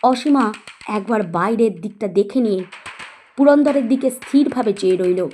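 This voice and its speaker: A woman's voice: medium pitch, moderate pace, dark tone, a little hiss or hum. A woman reading scripted narration for a suspense audio story.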